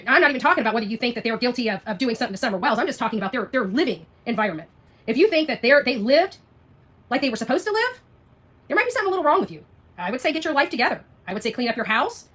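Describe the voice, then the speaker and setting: A woman with a high, bright voice delivers an unscripted livestream rant. She talks quickly and combatively, leaning on repeated phrases and pointed rhetorical questions as she answers her viewers. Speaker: livestream host responding to viewer comments.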